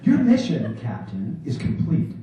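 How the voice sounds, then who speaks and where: A man's voice: mid-range voice, wildly swooping pitch, unhurried, dark tone. A man giving a theatrical live poetry reading on stage, with a mock-serious, declamatory delivery. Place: small theater in New York, before a laughing audience. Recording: echoey hall, distant dull microphone.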